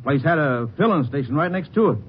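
A man playing an indignant, fast-talking traveler. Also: a little high, lively intonation, lightly acted. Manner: indignant, folksy and quick-talking. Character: irate traveling businessman, middle-aged. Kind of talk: 1950s radio drama acting. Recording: muffled old radio broadcast, faint hiss.

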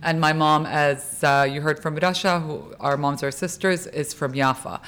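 A woman's voice: deep voice, fairly even intonation, moderate pace, fairly bright tone, slight background noise. A woman born in Kuwait and raised in California, a podcast guest who steadily explains historical background.

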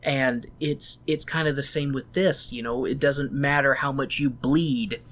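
A man co-hosting a casual discussion of a show, retelling scenes in a thoughtful, animated way. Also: mid-range voice, measured pace. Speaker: podcast co-host reviewing a show.

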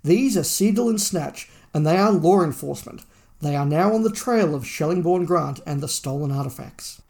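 A man's voice: fairly high pitch, expressive, moderate pace, creaky, a little mellow. A man co-hosting a podcast, reading a scripted plot summary aloud. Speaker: podcast host.

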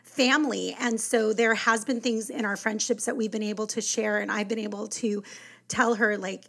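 A woman's voice: mid-range voice, fairly even intonation, moderate pace, vocal fry, a bit bright. A woman in her forties co-hosting a podcast, chatting casually with lots of "you know"s. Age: 40s. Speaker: podcast co-host. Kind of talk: casual chat.

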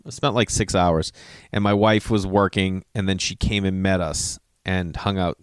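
A man's voice: low-pitched, fairly even intonation, moderate pace, smooth, no room sound, studio quality. A man in his forties telling a rambling personal story, often losing his thread and correcting himself. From Massachusetts. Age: 40s. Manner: digressive, self-correcting, swears casually.

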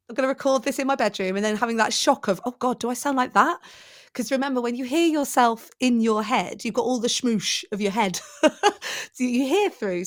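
Enthusiastic talkative woman. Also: fairly high pitch. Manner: enthusiastic, talkative, self-reflective. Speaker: podcast guest, radio presenter and voice coach. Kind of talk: conversational interview.

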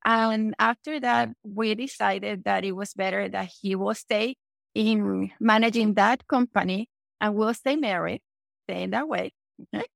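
A woman's voice: mid-range voice, a little slow, creaky, no room sound. A woman in her forties from Venezuela with a Venezuelan Spanish accent, speaking English as a second language as a confident podcast guest who keeps adding "okay". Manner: confident, says "okay" often, self-corrects. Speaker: guest entrepreneur. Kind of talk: podcast interview.

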